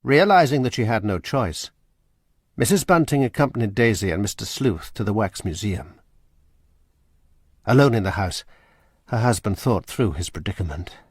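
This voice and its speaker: A man narrating a radio drama in a measured, grave manner. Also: on the low side, lively intonation, slightly rough, no echo, studio quality. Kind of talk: scripted narration.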